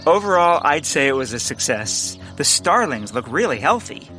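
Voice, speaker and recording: A man's voice: on the high side, sing-song, moderate pace, pushed and bright, faint music behind. Pompous, bumbling man, easily flustered. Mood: sheepish, earnest. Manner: grandiose, flustered, stammering. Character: earnest, pompous, bumbling male bird enthusiast. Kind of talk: comedic period audio drama acting.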